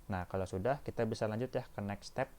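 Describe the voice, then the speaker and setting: A man's voice: lowish voice, quick pace, darkish tone. An Indonesian man giving an informal step-by-step tutorial as an accounting instructor, often addressing his listeners directly. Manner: addresses listeners as friends, frequent checking tags. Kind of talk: tutorial walkthrough, informal teaching.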